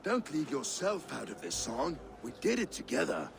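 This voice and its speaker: A drunken Viking warrior, high-pitched and gravelly. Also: male voice, sing-song, moderate pace, background noise. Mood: warm. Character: big drunken Viking warrior, weary and wistful under bluster. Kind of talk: video game drama acting.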